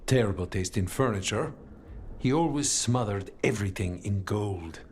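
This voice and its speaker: An actor playing a dry, formal, grumbling leader of an assassin guild in a video game, deadpan and clipped. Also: male voice, on the low side, moderate pace, a little hiss or hum, natural voice. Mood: dry, mournful. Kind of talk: video game cutscene dialogue.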